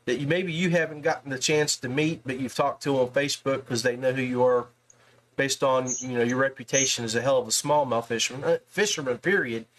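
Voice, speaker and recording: A man's voice: mid-range voice, steady tone, fairly quick, fairly bright tone, rough recording. A man hosting a podcast, interviewing in a casual, folksy, chummy way and often saying man and brother. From West Virginia, United States. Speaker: podcast host interviewing anglers.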